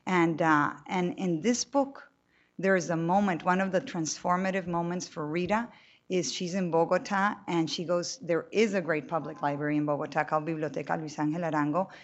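Animated woman from Colombia. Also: lowish voice, normal speed, muffled recording. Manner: animated, talkative, frequent restarts. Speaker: novelist on a panel. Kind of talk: panel talk to an audience. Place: room with a live audience.

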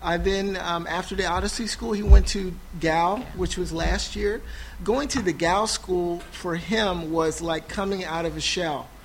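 A man speaking on a panel about his son's schooling, halting with many fillers and restarts. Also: sits high, steady tone, a little hiss or hum, low-quality recording. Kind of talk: personal testimony at a panel. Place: small intimate room. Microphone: no PA, recorded for a podcast.

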